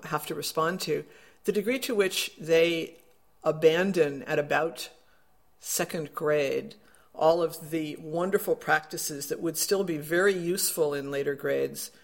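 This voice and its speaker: A man's voice: a little high, creaky. A man being interviewed as an education expert, explaining in a thoughtful, self-correcting way. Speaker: education researcher guest. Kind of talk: interview answer.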